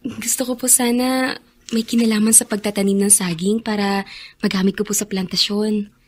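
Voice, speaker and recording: A woman's voice: mid-range voice, little pitch movement, normal speed, breathy edge, close to an everyday voice. A woman playing a humble, grateful young plantation helper in a Filipino radio drama, speaking politely and meekly. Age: young adult. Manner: polite, meek.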